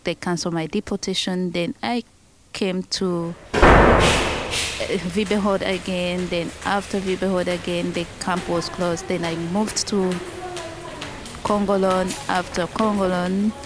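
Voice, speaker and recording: A woman giving personal testimony in a halting, step-by-step way. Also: on the low side. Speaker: former asylum camp resident giving testimony. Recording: loud background noise, clicks.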